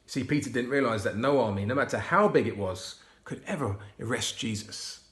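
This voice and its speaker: A man reading a Bible storybook aloud to children, expressive and often hushed. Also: medium pitch, a little fast. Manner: hushed, expressive, dropping to whispers. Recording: roomy echo.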